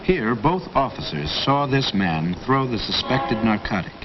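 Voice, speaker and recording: A man's voice: medium pitch, lively intonation, a little slow. Male narrator, vintage training film, authoritative and formal, muffled audio with heavy hiss. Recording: dull old film soundtrack with loud hiss.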